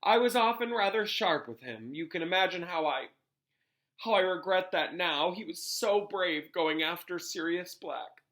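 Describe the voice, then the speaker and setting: A man's voice: high voice, animated, unhurried, fairly bright tone, pitched above the performer's own voice, full character voice. A man voicing a stern older female professor in a storybook, regretful and tearful, speaking in emphatic, clipped phrases.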